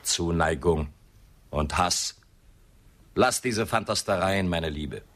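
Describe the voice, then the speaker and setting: An actor playing a suave, grandiose, mocking builder with theatrical, ironic delivery in a radio drama. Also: male voice, a little high, a bit bright, full character voice. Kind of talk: German radio drama acting.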